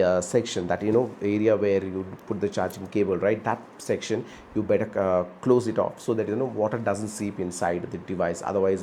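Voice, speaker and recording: A man's voice: lowish voice, a little mellow, slight background noise. A man with an Indian accent giving a conversational product review as a vlog host, repeating words for emphasis and often adding "you know". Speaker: host of a motorbike gear review channel.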